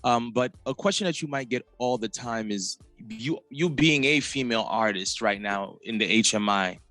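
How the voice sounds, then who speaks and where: A man's voice: moderate pace, bright, forceful tone, no room sound. A man hosting a music podcast interview, chatting casually in a laid-back way with plenty of slang. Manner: laid-back slang, swings pitch for quoted reactions. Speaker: podcast host.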